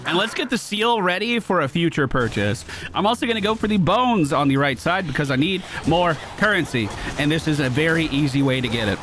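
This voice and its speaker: A man giving casual gameplay commentary in an excitable way, given to swearing when thrilled. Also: on the high side, fairly quick, few pauses. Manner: excitable, profane exclamations. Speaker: gaming video host. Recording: game music behind voice. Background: video game music.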